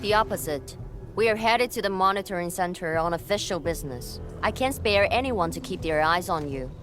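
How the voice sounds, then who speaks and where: A woman's voice: fairly low pitch, lively intonation, a little fast, over music, close to an everyday voice. An actress voicing a stern, confident female guard officer in a video game, curt and commanding with dry teasing. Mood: businesslike. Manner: curt, commanding, dryly mocking.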